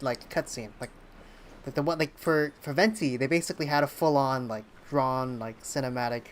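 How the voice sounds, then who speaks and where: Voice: mid-range voice, a little hiss or hum. A game streamer chatting casually on a livestream, hesitant and rambling while thinking aloud. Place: indoors at a streaming setup.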